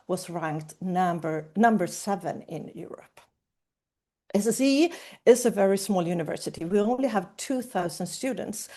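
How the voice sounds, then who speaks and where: A woman hosting a webinar for prospective students. She walks through an agenda and presents in a clear, upbeat tone. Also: medium pitch, mellow. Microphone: video call.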